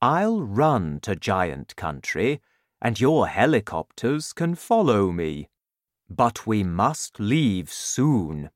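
A man voicing a big, gentle, friendly giant in a storybook reading, speaking slowly and humbly. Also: on the low side, big pitch swings, no room sound, clean studio sound.

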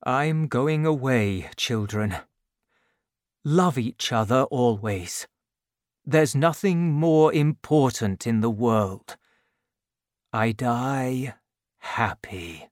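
A gentle, loving old man near the end of his life in a storybook reading, played by a male narrator. He speaks low, hushed and slow, each phrase soft and fading. The recording is close and dry. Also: expressive, no echo. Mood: tender. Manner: quiet, soft, fading to a whisper.

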